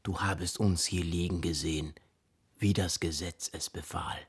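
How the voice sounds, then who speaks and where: An actor playing a solemn, vain poet in a comic radio play, speaking in a husky, measured way. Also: male voice, sits low, fairly even intonation. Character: solemn, vain poet reciting his own verse. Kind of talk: comic radio drama, declaimed verse.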